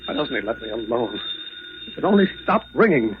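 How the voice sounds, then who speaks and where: A man's voice: medium pitch, very animated, fairly quick, a little hiss or hum, close to an everyday voice. An actor playing a frightened, desperate hunted man in a 1940s radio drama, agitated and pleading. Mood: despairing. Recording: dull old 1940s radio recording.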